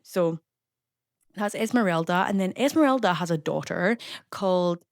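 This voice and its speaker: A young Scottish woman chatting casually as a voice-over, rambling with lots of fillers and quick asides. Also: lowish voice, expressive, no room sound, clean studio sound. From Scotland. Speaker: YouTuber narrating a game build.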